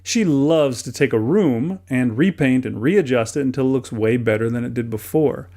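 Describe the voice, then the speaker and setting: A man's voice: mid-range voice, moderate pace, dark tone. A middle-aged American man narrates a how-to hobby video from a script, conversationally and with light self-deprecation. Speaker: toy hobbyist and video host.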